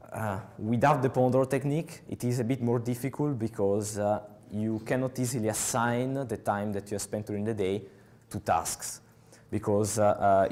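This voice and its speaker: Young Italian-accented male speaker, slightly low voice, halting conference-talk delivery on a headset mic. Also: darkish tone. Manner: halting, many filler uhs. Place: large conference hall with audience.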